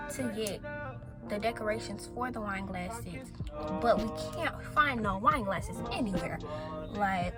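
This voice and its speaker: A teenage girl from Atlanta, chatting casually in a vlog with lots of "like" and "um", her voice a little raspy. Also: a little high. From Atlanta, USA. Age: teens. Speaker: vlogger. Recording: loud background music.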